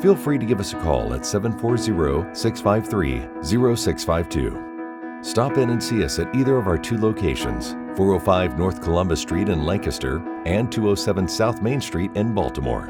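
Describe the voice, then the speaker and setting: Deep-voiced guy doing a solemn ad read, lots of melody, loud guitar behind. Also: very animated, moderate pace. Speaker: commercial announcer. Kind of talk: scripted ad read. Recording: loud guitar music under voice.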